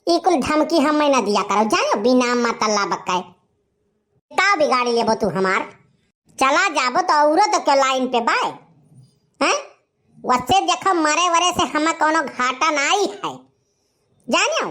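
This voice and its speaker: A woman's voice: sits high, lively intonation, a little slow, long pauses, a bit bright. A woman playing a sassy, impatient young village woman in a cartoon comedy, scolding brazenly with an Awadhi accent. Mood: scolding, dismissive. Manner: scolding, brazen, repetitive catchphrases. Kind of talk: animated comedy dialogue.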